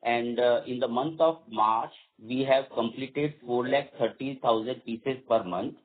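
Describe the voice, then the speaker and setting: A man with an Indian accent answering as a company executive on an earnings call, halting and repeating phrases as he goes. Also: medium pitch. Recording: dull lo-fi conference call audio.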